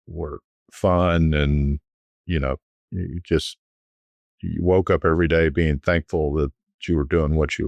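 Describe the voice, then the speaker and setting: A middle-aged man, a guest recalling his years selling private jets, talking in a relaxed, rambling way with frequent restarts. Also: a bass voice, no echo, lo-fi sound. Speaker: guest, former private jet sales executive. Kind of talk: conversational storytelling.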